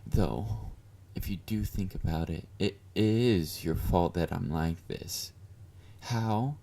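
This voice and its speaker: A man's voice: sits low, slow pace, vocal fry, dark tone, heavily acted. A man playing a confident, obsessive, flirty yandere fan in an intimate audio roleplay, teasing and smug as he speaks to his beloved. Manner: teasing, smug, mock-considerate, murmured endearments.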